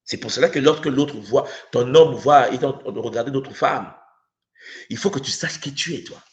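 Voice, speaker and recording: A man's voice: medium pitch, normal speed. A man with a Congolese accent giving an impassioned spiritual lesson, using rhetorical questions and repeating himself for emphasis. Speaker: spiritual teacher. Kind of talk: impassioned spiritual teaching to an online audience.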